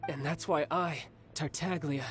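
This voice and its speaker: A man's voice: a little high, fairly even intonation, measured pace, close to an everyday voice. A man playing a cocky young warrior and doting older brother in a video game, confident, teasing and affectionate. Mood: weary, earnest. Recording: music behind the voice.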